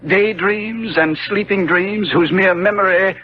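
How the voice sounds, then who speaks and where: An actor playing a dissolute, cynical Victorian lord, suave and theatrical, in a 1970s radio drama. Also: male voice, sits high, fairly even intonation, measured pace. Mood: amused provocation. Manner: suave, epigrammatic, amused, theatrical. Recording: dull, noisy 1970s radio recording.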